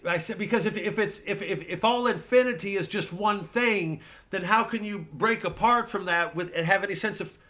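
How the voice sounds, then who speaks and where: Comedy sketch role: man as loud, high-pitched club-goer, exasperated, halting, straining to be heard. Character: loud club-goer straining to talk philosophy over music.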